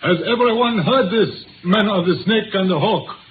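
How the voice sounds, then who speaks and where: A man's voice: high-pitched, natural voice. Man playing a solemn Chinese tong leader, scratchy radio. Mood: ceremonious. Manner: ceremonious, measured, formal phrasing. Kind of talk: 1950s radio drama acting. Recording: scratchy, narrow-band old radio recording.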